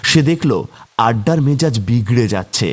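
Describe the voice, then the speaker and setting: A man's voice: mid-range voice, expressive, normal speed. A man narrating a scripted horror tale as a storyteller for a cartoon.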